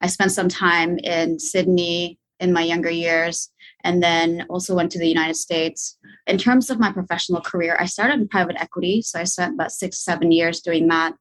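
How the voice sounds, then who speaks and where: A woman from Indonesia, a company co-founder, answers interview questions calmly and matter-of-factly. Her voice sits somewhat low for a woman. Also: normal speed, rough recording.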